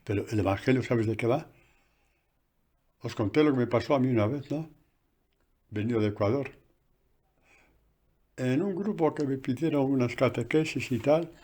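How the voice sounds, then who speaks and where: A man, a priest, giving a conversational catechesis sermon recorded on a phone, animated and full of asides. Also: medium pitch, normal speed, pauses often, breathy edge.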